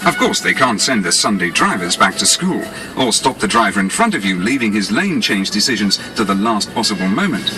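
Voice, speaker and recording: A man's voice: on the low side, big pitch swings, brisk, hardly any pauses. A British man reading scripted 1980s promotional narration in a polished, explanatory salesman's manner, on a cassette tape. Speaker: advertising narrator. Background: music.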